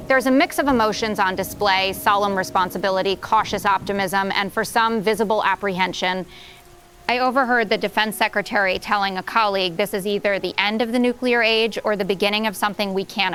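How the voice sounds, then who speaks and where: A woman's voice: medium pitch, fairly bright tone, close to an everyday voice. A woman playing an earnest, composed news correspondent giving a descriptive live report from the scene.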